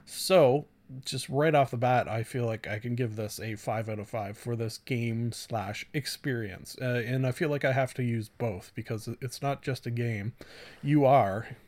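A man's voice: medium pitch, a little slow, a little mellow. A man hosting a casual solo review podcast, talking in a rambling, slangy and self-deprecating way. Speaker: podcast host reviewing books and games.

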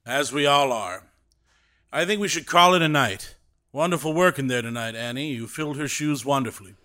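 An actor playing a brash, commanding theatre director in a period audio drama, theatrical, self-assured and insistent. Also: male voice, medium pitch, normal speed, sharp tone, close to an everyday voice. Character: brash, commanding young theatre director pitching radio shows.

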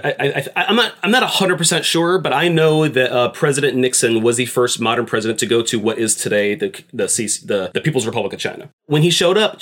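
Casual, halting male podcast co-host. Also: medium pitch, fairly bright tone. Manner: informal, halting with repeated words. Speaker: podcast co-host presenting facts. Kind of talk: casual chat presenting notes.

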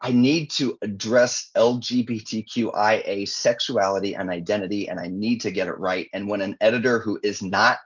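A man being interviewed as an author, talking in an expressive, animated way with his pitch jumping on emphasis. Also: normal speed, hardly any pauses, breathy edge. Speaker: guest author. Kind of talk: conversational interview. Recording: dull lo-fi audio.

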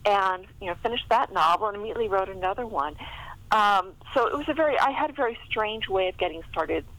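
A woman's voice: mid-range voice, expressive, fast, fairly bright tone, rough recording. A middle-aged American woman chatting casually and telling anecdotes as an interview guest. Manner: chatty, laughs often. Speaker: interview guest, historical fiction author.

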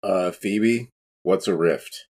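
A man's voice: deep voice, slow, a little mellow, breathy edge. A man playing a tabletop game, chatting casually and thinking aloud in a tentative way.

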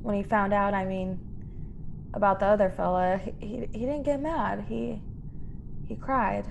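An actress playing a frightened young woman stowaway in a science fiction radio drama, halting and emotional. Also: medium pitch, steady tone, creaky, highs cut off, a little hiss or hum. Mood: wistful, subdued. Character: frightened young female stowaway, pleading, naive and wistful. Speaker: actor in a radio play.

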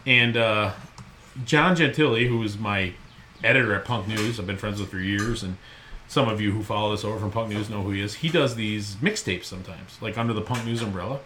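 A man's voice: on the low side, fairly quick, bright, forceful tone, a little hiss or hum. A middle-aged man hosting a podcast from home, chatting casually and rambling with self-mocking humor. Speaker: podcast host. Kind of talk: casual chat. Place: home recording room.

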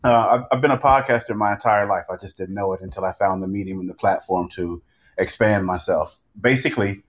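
A man's voice: on the low side, a little fast. A man talking casually as a podcaster on a panel. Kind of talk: casual, joking panel talk.

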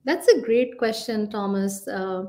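A woman's voice: mid-range voice, unhurried, warm tone. A middle-aged woman from India with an Indian accent, a career coach answering podcast questions in a thoughtful way with many fillers.

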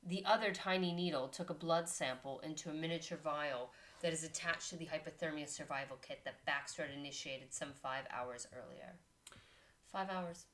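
Woman, lowish voice, podcast co-host, reads story text, chatty asides. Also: normal speed, fairly bright tone. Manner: reads story text, breaks off to chat and laugh. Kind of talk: casual chat, reading a story aloud.

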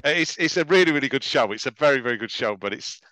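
A man's voice: mid-range voice, expressive, fast talker, pushed and bright, dry recording. A middle-aged man co-hosting a podcast in casual conversation, chatty and often tagging his phrases with "right?". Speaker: podcast co-host. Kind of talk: casual chat.

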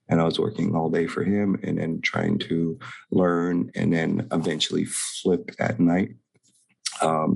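Gravelly, low-voiced laid-back man. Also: poor audio. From Hawaii. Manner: laid-back, rambling, laughs often. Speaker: guest, real estate investor. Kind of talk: casual interview talk.

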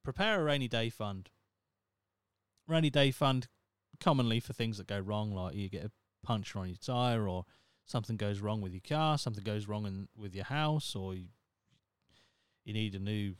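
A man's voice: lowish voice, normal speed, clear, resonant tone, no echo. Sweary man, dry close podcast voice. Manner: rambling, sweary, wry and self-mocking. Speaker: podcast host. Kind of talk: casual solo podcast monologue.

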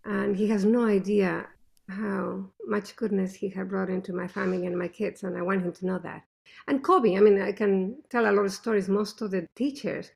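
Gravelly-voiced woman with a thick accent. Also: mid-range voice, moderate pace. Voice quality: speaks with a thick non-native accent. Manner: animated, emphatic storytelling. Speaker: interview guest, mother. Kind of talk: conversational interview.

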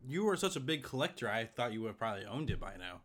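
A man's voice: mid-range voice, big pitch swings, fast talker, a bit bright. A man co-hosting a podcast, speaking in an informal, conversational style. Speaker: podcast co-host. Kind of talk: casual podcast chat and host-read ad.

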